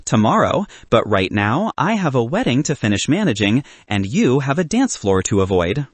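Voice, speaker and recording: A man acts out a capable young bridesmaid in a romance audiobook. She is brisk, bossy and playful, with animated pitch and a husky edge, recorded dry. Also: dry recording.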